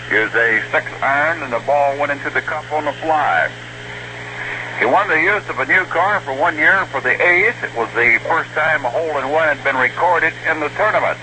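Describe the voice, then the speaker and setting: A man's voice: on the high side, pushed and bright, poor audio. An American man reading scripted sports news as a radio studio announcer. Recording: old radio broadcast with faint noise.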